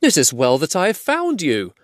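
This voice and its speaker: A grave, formal warrior speaks with steady solemnity in a raised, carrying voice, as a man reads him in a storybook. The sound is dry and close. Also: on the high side, normal speed, no room sound, pitched above the performer's own voice. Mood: earnest.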